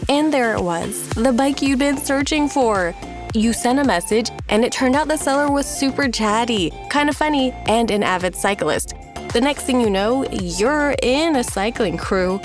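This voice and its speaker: A woman with a slightly high voice narrates an advert. The read is scripted but conversational, with clear music playing behind her.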